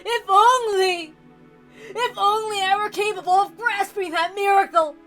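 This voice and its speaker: A woman's voice: extremely high pitch, steady tone, music in the background, pitched above the performer's own voice, rough recording. A woman voicing a deranged, tyrannical old patriarch with theatrical, obsessive rants, reading from a visual novel. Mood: desperate pleading. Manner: theatrical howling rants, drawn-out pleading.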